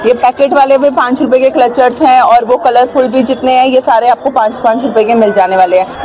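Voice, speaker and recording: A woman's voice: a little high, poor audio. A woman vlogging a street market tour, chatting casually and asking vendors their prices. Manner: chatty, asks vendors prices and repeats them to viewers. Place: busy outdoor street market. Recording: loud crowd noise of a busy market.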